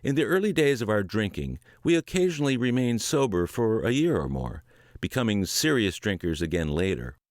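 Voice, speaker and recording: Deep-voiced man reading aloud earnestly. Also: sing-song, no room sound, studio quality. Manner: measured, earnest reading with dips at sentence ends. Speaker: audiobook reader. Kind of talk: word-for-word book reading.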